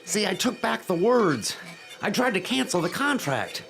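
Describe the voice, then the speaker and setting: An actor playing a desperate, guilt-ridden middle-aged father and professor in an audio drama, halting and pleading. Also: high voice, sing-song, gravelly, fairly bright tone, faint music behind, heavily acted. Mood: grave, anxious.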